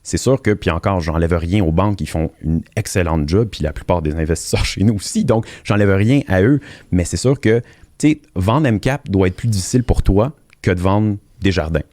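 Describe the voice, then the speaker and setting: A man's voice: low voice, lively intonation, moderate pace, darkish tone, no room sound, studio quality. A man, a guest on a podcast, giving earnest, explanatory answers and often stumbling over repeated words. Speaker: mortgage industry guest. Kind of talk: interview answers.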